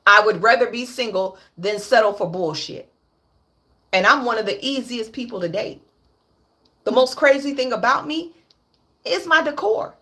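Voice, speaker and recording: A woman's voice: on the high side, lively intonation, moderate pace, long pauses, pushed and bright. A woman in her late thirties speaking on a candid livestream, blunt and profane, repeating her points for emphasis. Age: 30s. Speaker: livestream host.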